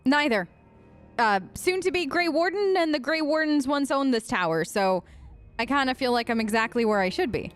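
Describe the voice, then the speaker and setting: A woman's voice: high-pitched, expressive, moderate pace, soft background music. A woman hosting a let's play, chatting casually and playfully while role-playing her character.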